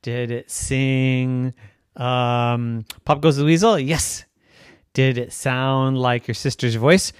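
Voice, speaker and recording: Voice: medium pitch, steady tone, slow pace, smooth, dry recording, studio quality, natural voice. A reader doing a teasing little sister. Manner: teasing, matter-of-fact. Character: teasing girl, the heroine's sister.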